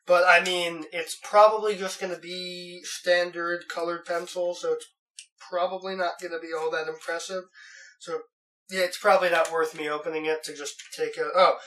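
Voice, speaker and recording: Young guy unboxing in his bedroom, a bit stuffy from a cold, higher voice, sits back from the mic. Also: flat delivery, moderate pace, pushed and bright, distant mic, poor audio. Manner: rambling, many "uh"s and restarts. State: has a cold. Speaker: unboxing vlogger. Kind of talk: casual unboxing chat. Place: bedroom at home.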